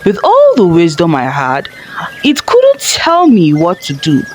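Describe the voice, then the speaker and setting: A woman's voice: lowish voice, huge pitch swings, unhurried, slight background noise. A woman voicing a proud, bitter, angry father in a folktale, with an indignant, dramatic delivery. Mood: bitter, astonished. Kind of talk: folktale storybook character voice.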